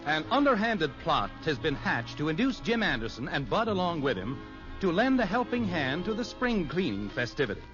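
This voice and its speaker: A man's voice: fairly high pitch, big pitch swings, moderate pace. Arch male narrator over music. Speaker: show announcer and narrator. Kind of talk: scripted 1950s radio sitcom narration. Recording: muffled old radio recording.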